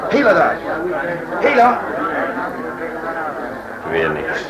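A man's voice: high-pitched, huge pitch swings, drawn-out words, lo-fi sound, exaggerated voice. An actor playing a grumbling, plain-spoken working man as a telegram messenger in a radio play. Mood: harried, grumbling. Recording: radio play with loud crowd behind.

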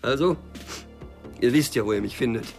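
An actor playing a stubborn, wary small-time drug dealer and loner in a radio crime drama, curt, dry and cynical. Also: male voice, mid-range voice, moderate pace, vocal fry, over music, lightly acted. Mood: bitter, mocking.

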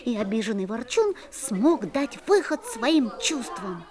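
Cast: a curious young boy narrator for a storybook play, played by a woman; high-pitched. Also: very animated, a little slow. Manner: earnest storytelling. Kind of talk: musical fairy-tale audio play narration.

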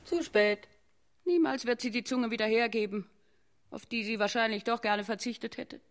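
A woman's voice: fairly high pitch, normal speed, lightly acted. A woman playing a melodramatic, clinging mother in a German radio play, declamatory and imploring. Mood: solemn, declamatory.

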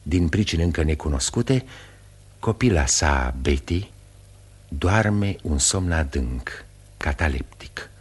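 A man's voice: very deep voice, animated, breathy edge. A man delivering dramatic scripted narration for a radio play.

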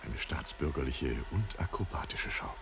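Very deep, gravelly male official. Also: lively intonation, moderate pace, background noise. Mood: dutiful, formal. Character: compliant male official, dutiful, dryly formal. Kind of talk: radio drama acting.

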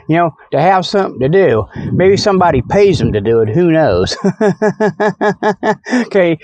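Male comic host, folksy and digressive, a little higher-pitched, on a dull, dry lo-fi recording. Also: dry recording. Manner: folksy, easily sidetracked, repeats "okay" and "you know". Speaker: host of a comic Sasquatch report show. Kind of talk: rambling folksy comic monologue. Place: outdoors by a river.

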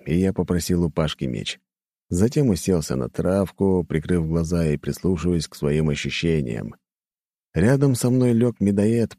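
A man's voice: low voice, dark tone, dry recording, studio quality. A man narrating first-person fiction as an audiobook reader, in a scripted storytelling style.

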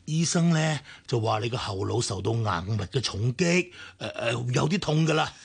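An actor playing a kindly, anxious older uncle in a Cantonese radio drama, soothing and insistent, with a Hong Kong accent. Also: male voice, mid-range voice, animated, normal speed, gravelly, slightly rough, heavily acted. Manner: soothing, fatherly, hesitant.

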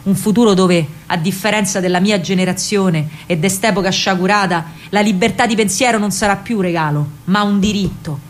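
A woman's voice: medium pitch, natural voice. Woman playing an earnest old Roman partisan man. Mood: fervent, exhortatory. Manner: grave, exhortatory, deliberate. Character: earnest old Roman man, conspiratorial anti-fascist mentor. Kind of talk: theatrical monologue, radio drama.

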